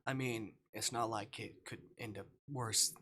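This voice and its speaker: A man's voice: lowish voice, fairly even intonation, measured pace, pushed and bright, a little hiss or hum. A man voicing a hesitant, self-deprecating young adult protagonist who is broke and unsure in a visual novel. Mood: doubtful. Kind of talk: reading a visual novel aloud.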